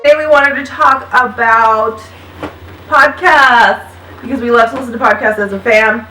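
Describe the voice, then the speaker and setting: A woman's voice: a little high, steady tone, normal speed, pushed and bright, faint music behind. A woman in her thirties hosting a casual family chat show in an upbeat, chatty way. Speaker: host. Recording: roomy sound from a distant mic.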